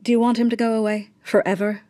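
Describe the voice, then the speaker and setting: A woman's voice: fairly high pitch, flat delivery, fast talker, mellow, dry recording, clean studio sound, lightly acted. An actress playing a bitter, imperious, bigoted upper-class mother in a radio drama, clipped, cutting and sarcastic, with insistent questioning. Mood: insistent, agitated. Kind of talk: naturalistic radio drama acting.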